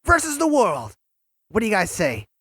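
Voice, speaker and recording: Man as youthful hedgehog hero, lively pitch swings, cocky, chatty, excitable, cartoon audio drama. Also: moderate pace, slightly rough, dry recording, studio quality, exaggerated voice. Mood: excited. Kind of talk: fan audio drama acting.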